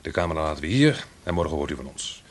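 An actor playing a coolly menacing, polite blackmailer in an old Dutch radio play with faint noise. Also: male voice, extremely low pitch, big pitch swings, fast talker. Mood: cool, controlling. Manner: suave, commanding, precise.

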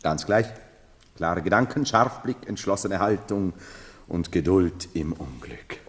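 A brooding self-styled emperor in a lowish voice, imperious and theatrical. He halts, then rushes on, in 1970s radio drama manner, inside a long hall reverb. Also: expressive. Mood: solemn, humbled. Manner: theatrical, halting then rushing, solemn. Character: unsettled man who believes himself emperor, brooding, imperious.

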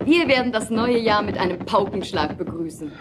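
A woman acting an optimistic, supportive inventor's wife in a German audio drama, earnest and expressive. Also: medium pitch, moderate pace, background noise, natural voice. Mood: hopeful, restless.

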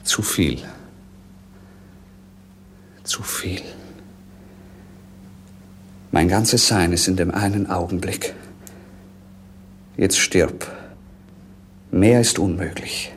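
An actor playing a young, world-weary romantic prince in lyrical, theatrical 1950s radio-play style. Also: male voice, sits low, very slow, long pauses. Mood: rapturous. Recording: noisy 1950s radio recording.

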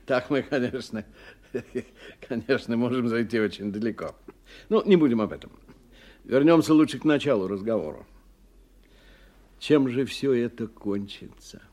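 An actor in a radio play voicing a worldly, forthright engineer who talks expressively, with wry and probing curiosity. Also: male voice, medium pitch, normal speed, pauses often, a little mellow, lightly acted. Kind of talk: 1990 Russian radio play dialogue.

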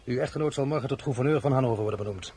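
An actor playing an imperious, slightly awkward emperor in a radio drama, formal and commanding with hesitations. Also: male voice, medium pitch, rapid-fire, darkish tone, a little hiss or hum, lightly acted.

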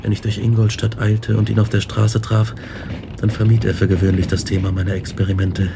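Obsessed young scientist for radio drama: grave, intense, low-pitched, with a creaky edge. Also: male voice, monotone, a little fast, background noise. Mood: grave, confessional. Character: Victor Frankenstein, obsessed young male scientist recounting his horrors. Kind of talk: German radio drama acting and narration.

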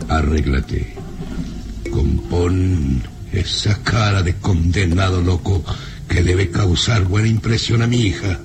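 A domineering old nobleman in a radio drama, very low and slow, swooping with scorn. He is imperious and taunting, with music behind him. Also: heavily acted. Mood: commanding, sly. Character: cruel, mocking older Hungarian baron, a domineering father. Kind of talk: old radio serial acting, theatrical.

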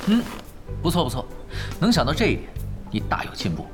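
Shrewd, lively gamer over music. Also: male voice, medium pitch, a little fast. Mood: explaining. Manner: easygoing, teasing, mock-modest. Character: shrewd, unflappable male veteran gamer, dryly teasing. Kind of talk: Chinese radio drama acting. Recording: studio voice over clear background music.

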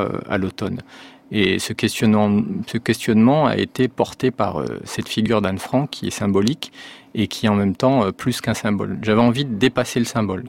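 Hesitant, low-voiced French man. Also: gravelly. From France. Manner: hesitant, many fillers and restarts. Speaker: novelist guest. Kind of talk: radio interview answers.

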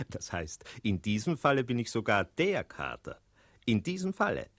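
An actor voicing a sly, chatty tomcat who narrates a 1960s radio play in a playful, theatrical way. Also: male voice, medium pitch, wildly swooping pitch, measured pace, a bit bright, no room sound, exaggerated voice. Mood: playful, self-important. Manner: playful, theatrical, digressive, punctuated by meows. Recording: 1960s radio studio recording with music.

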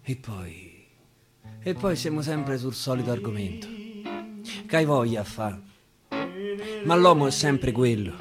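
A man reciting dialect verse dramatically on radio, musing and repeating phrases for emphasis. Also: medium pitch. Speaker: actor. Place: radio studio. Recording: guitar accompaniment throughout.

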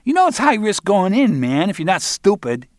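A man's voice: high-pitched, big pitch swings, moderate pace, no room sound, clean studio sound, pitched above the performer's own voice. A man voicing a cocky, mocking young stoner with a slangy, whiny delivery in an audiobook. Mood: defensive, cocky.

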